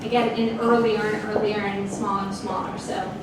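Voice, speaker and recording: Woman, middle-aged, guest speaker, measured, many fillers, flat pitch, distant echoey hall, background noise. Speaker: guest speaker, sales executive. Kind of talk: onstage fireside chat. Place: large open office event space with audience. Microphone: handheld mic heard from a distant camera. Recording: echoey room from far off with noise.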